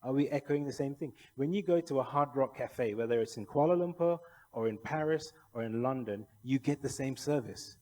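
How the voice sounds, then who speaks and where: Male conference presenter from Malaysia, soft-edged warm tone, persuasive and rhetorical, recorded in an echoing hall. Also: medium pitch, a little fast. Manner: persuasive, rhetorical questions to the audience. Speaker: conference speaker, kennel federation official. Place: large indoor conference hall. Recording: echoey hall with long reverb.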